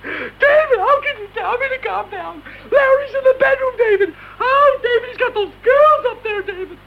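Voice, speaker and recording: A man voicing a fretful old mother in a shrill, sobbing falsetto with theatrical, overwrought pleading on a comic spoken-word record. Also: lively intonation, normal speed, vocal fry, a little hiss or hum, exaggerated voice. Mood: distraught. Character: wailing, fretful old mother, always crying out. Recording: dull lo-fi record airplay.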